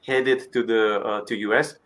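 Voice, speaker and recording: A man from Slovakia with a Slovak accent, speaking halting English as a learner in casual conversation and searching for words. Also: lowish voice, steady tone, fairly bright tone, a little hiss or hum.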